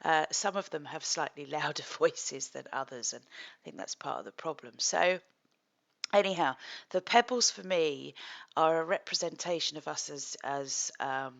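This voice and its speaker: A middle-aged woman, a psychotherapist, talking calmly and thoughtfully in a slightly husky voice as she hosts a creative project. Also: deep voice. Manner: gentle, thoughtful, with soft laughs and pauses. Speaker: psychotherapist and art project host. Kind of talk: calm reflective vlog talk.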